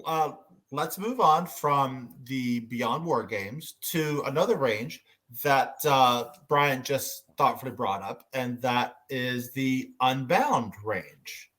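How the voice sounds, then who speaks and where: A man moderating a casual panel discussion, speaking in a halting, hesitant way. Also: medium pitch, fairly bright tone.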